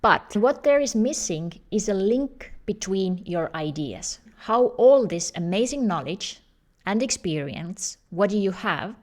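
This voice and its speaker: A woman with an Estonian accent coaches job seekers in a steady mid-pitched voice. She talks in an earnest, explanatory way, and her English phrasing is slightly non-native. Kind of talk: direct-to-camera advice video.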